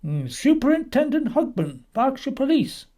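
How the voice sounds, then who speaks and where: Woman acting a confident police superintendent, mellow and formal. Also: sits high, big pitch swings, pitched above the performer's own voice. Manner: formal, authoritative, deliberate. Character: brisk, confident male police superintendent on telephone.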